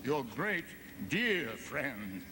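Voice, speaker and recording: An actor playing a bitter, scheming Venetian nobleman in an audio drama, theatrical, brooding and slyly flattering. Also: medium pitch, drawn-out words, background noise, heavily acted. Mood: falsely courteous. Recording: loud music under the voice.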